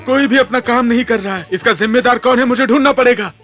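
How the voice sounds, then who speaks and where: A man's voice: very high-pitched, fast, fairly bright tone, soft background music. A man playing an exasperated, put-upon farmer in a cartoon dub, fussy and complaining. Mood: indignant, flustered.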